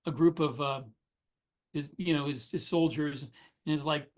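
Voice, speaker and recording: A man co-hosting a podcast, talking informally and haltingly, often repeating his words. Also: fairly high pitch, fairly even intonation, darkish tone. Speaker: podcast co-host, science fiction author. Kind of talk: casual podcast chat.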